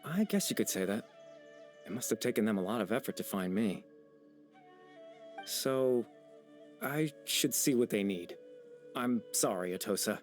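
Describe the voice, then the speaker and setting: A gentle, melancholy low-voiced young man. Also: expressive, measured pace, long pauses. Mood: gentle, wistful. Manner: soft-spoken, polite, wistful, hesitant. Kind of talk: video game voice acting. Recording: background music under the voice.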